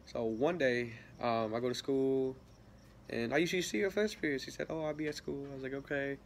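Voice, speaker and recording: A man's voice: mid-range voice, fairly quick, creaky, slight background noise. Rambling teenage guy telling a story. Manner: rambling, many fillers and restarts, soft laughs. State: a little sick. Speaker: vlogger. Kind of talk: casual storytelling vlog.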